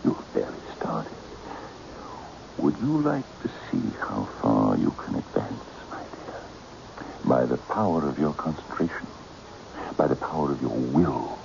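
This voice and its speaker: Very deep, creaky sinister occult leader. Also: male voice, big pitch swings, unhurried, darkish tone, exaggerated voice. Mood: hypnotic, coaxing. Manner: grandiose, condescending, hypnotic coaxing. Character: theatrical, sinister occult leader, imperious and coaxing. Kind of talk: old-time radio mystery acting. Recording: muffled, noisy old radio broadcast.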